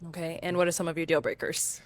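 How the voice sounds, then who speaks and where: A woman hosting a dating show, asking brisk, upbeat questions and announcing guests. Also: low voice, level tone, no echo.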